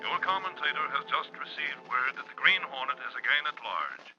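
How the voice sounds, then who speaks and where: Actor as animated radio newscaster, muffled recording. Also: male voice, mid-range voice, a little fast, close to an everyday voice. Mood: urgent. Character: urgent male radio news commentator. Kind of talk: 1940s radio newscast acting. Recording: dull old radio, loud background music.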